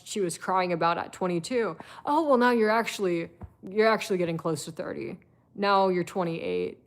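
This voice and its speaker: A woman in her twenties giving a blunt, chatty live-stream monologue, full of fillers and swearing. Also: fairly low pitch. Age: 20s.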